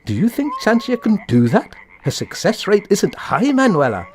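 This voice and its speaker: A man's voice: high voice, huge pitch swings, normal speed, a little hiss or hum. A man playing a nosy, tactless, gossiping villager in a comic radio play. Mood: gossipy.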